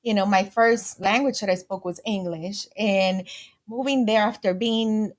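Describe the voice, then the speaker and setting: Guest, weiblich, 40er Jahre, ricanischer Akzent, offen erzählend, mischt Spanisch ein. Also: female voice, medium pitch, fairly even intonation, a little slow. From Puerto Rico. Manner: candid and storytelling, switching into Spanish phrases. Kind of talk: casual interview.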